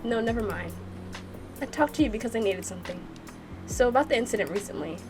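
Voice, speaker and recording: A woman voicing a blunt, gruff but caring young man in an anime visual novel, curt and awkwardly concerned. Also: a little high, expressive, fast, music in the background, natural voice.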